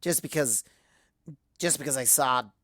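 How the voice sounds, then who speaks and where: Low, halting, bitter young pony mare from a fan story, tipsy and sarcastic female reader. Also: fairly bright tone, no room sound, clean studio sound. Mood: drunken, defensive.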